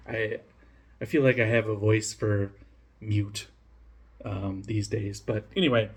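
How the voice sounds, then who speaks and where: A man casually reviewing a beer on camera, rambling with lots of fillers, restarts and swearing, and poking fun at himself. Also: medium pitch. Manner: rambling, self-mocking, profane, many fillers and restarts. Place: home room, lives in Denmark.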